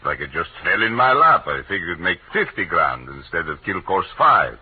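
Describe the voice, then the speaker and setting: An actor playing a shady, defensive gambling racketeer with clipped broken grammar, in an old radio crime drama. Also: male voice, low-pitched, big pitch swings, moderate pace, breathy edge, full character voice. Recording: muffled, tinny old radio broadcast.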